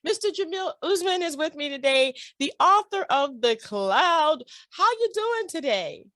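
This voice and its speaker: A woman's voice: high-pitched, sing-song, measured pace, bright, forceful tone, dry recording, clean studio sound. A woman hosting a radio interview show, effusive and enthusiastic as she asks her questions.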